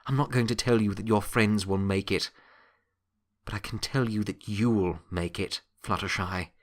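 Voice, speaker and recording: A man voicing a centuries-old pony who was once Death, gentle and wry, speaking in a halting, rueful, reassuring way in an audio story. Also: lowish voice, steady tone, natural voice. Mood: earnest, sombre. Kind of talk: dramatic audiobook character acting.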